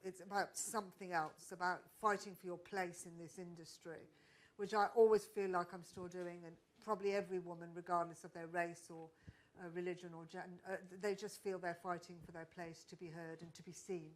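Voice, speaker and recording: A woman's voice: on the low side, little pitch movement, fairly quick. Woman, stand-up comic, live panel guest, candid, reflective, restarts often. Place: stage at a live podcast event.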